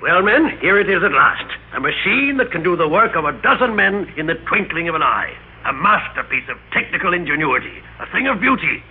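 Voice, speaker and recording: A man's voice: high voice, big pitch swings, a little fast, narrow, tinny audio. A man acting a pompous, smug company boss in an old-time radio drama, speaking formally and with polite condescension. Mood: grandly proud. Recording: thin band-limited old radio recording, noisy.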